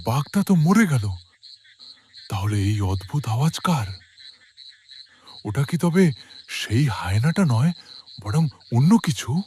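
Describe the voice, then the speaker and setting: A man voicing an anxious, cautious village gentleman in a cartoon story, with an alarmed, trembling manner. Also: mid-range voice, very animated, slow, pauses often, slight background noise. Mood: frightened.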